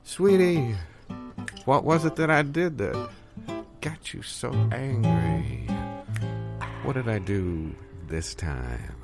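A man performing improvised comedy, deadpan and unhurried, pausing between phrases. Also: medium pitch, over loud music. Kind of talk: improvised deadpan comic monologue and banter.

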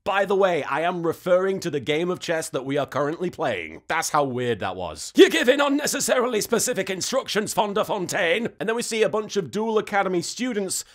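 A man's voice: high-pitched, a little fast, hardly any pauses. A man in his thirties giving a sarcastic, exasperated comedic recap as an online host. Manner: animated, sarcastic, exasperated, swearing. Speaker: host of anime reaction series.

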